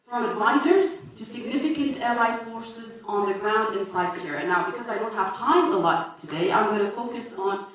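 A woman with a Lebanese accent presents her paper at a conference, pausing often on fillers and correcting herself mid-sentence. She stands back from the microphone. Her voice rings through a large, strongly echoing hall. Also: mid-range voice, expressive. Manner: halting, many fillers and self-corrections. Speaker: conference speaker. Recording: echoey hall from far off.

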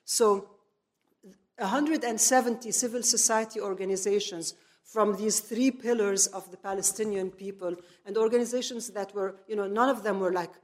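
Female lecturer, middle-aged, from Lebanon, medium pitch, emphatic and explanatory delivery to an audience. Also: fairly even intonation, normal speed. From grew up in Lebanon. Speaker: speaker, activist lecturer. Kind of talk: public lecture, semi-improvised.

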